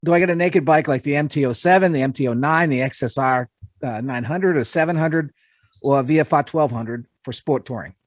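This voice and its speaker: A man with a New Jersey accent talks casually as a podcast panelist. His voice is a little high for a man. The recording is dry, without room echo. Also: fairly quick. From New Jersey, USA. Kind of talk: casual podcast chat and reading aloud.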